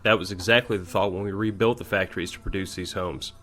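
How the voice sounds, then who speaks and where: A man's voice: low-pitched, fairly even intonation, fast, fairly bright tone. A man playing a courteous, weary military major in an audio drama, polite and formal in his speech. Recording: faint background noise.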